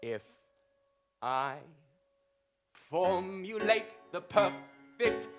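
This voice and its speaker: A performer playing a scheming wizard villain in a comic pantomime, with a grand, drawn-out theatrical delivery. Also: mid-range voice, big pitch swings. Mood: grand, tempting. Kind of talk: improvised comic pantomime with singing. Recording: music loud behind the voice. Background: loud musical accompaniment.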